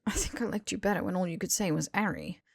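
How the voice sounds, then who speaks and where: A woman's voice: on the low side, fast, gravelly, slightly rough, no echo, studio quality. A woman voicing a casual young man, wry and easily flustered, protesting informally in an audiobook. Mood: wry, impatient. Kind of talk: audiobook character dialogue.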